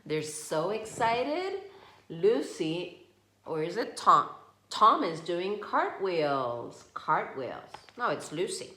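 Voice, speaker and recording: A woman reading a picture book aloud to young children, slow and expressive, stopping to ask questions. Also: low-pitched. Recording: echoey room.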